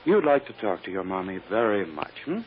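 An actor playing a controlling prosecutor who talks down to a child, smooth and insistent, in a radio drama. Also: male voice, lowish voice, very animated, a little fast. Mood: coaxing. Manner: patronizing, insistent. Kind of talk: 1950s radio drama acting. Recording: dull noisy old radio recording.

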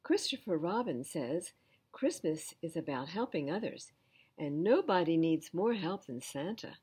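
An older woman voicing a gentle, simple, earnest toy bear in a children's storybook. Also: lowish voice, lively intonation, measured pace, slightly rough, full character voice. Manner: earnest, thoughtful.

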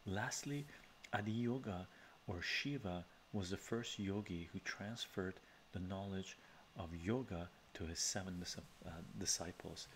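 Middle-aged canadian guy, very low voice, soft chatty asides, slight room hiss, bookshelf study. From Canada, of Armenian descent from Iran. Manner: soft-spoken, chuckling, chatty asides with frequent "right?". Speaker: live-stream host reading chat. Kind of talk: relaxed ASMR live-stream chat. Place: home study lined with bookshelves. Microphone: webcam framing at desk.

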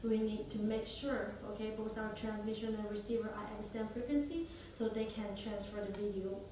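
A young woman demonstrates a product step by step, speaking in halting phrasing. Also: mid-range voice, steady tone, dark tone. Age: young adult. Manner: halting non-native English phrasing. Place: small indoor office or meeting room. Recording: echoey room, distant mic, noisy background.

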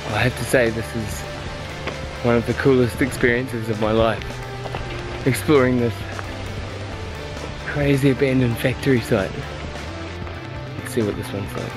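A man's voice: medium pitch, steady tone, measured pace, pauses often, rough recording. Kiwi guy in his 30s doing a chatty photo travel vlog, really into it, bit of music behind. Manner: enthusiastic, informal. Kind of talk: casual vlog narration to camera. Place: outdoors and inside large abandoned factory buildings. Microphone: handheld camera at arm's length.

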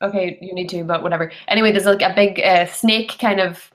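A woman's voice: medium pitch, steady tone, brisk. A woman, chatting frankly and casually about books, with lots of filler "like". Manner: chatty, frank, uses "like" often. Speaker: book club panelist. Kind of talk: casual book discussion. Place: bedroom, indoors, on a video call. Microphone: computer mic on a video call.

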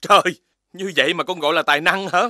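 A man voicing a patient father dog in a cartoon, calm and gently lecturing his son. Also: sits high, fast talker, dry recording, studio quality. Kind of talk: cartoon voice acting. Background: background music.

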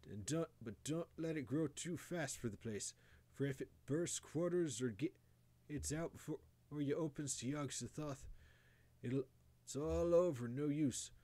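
A man voicing a dying, half-mad old rustic grandfather in a horror story, halting and urgent, with wheezing, choked speech. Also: a little high, mellow, voice pushed up. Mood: urgent, failing.

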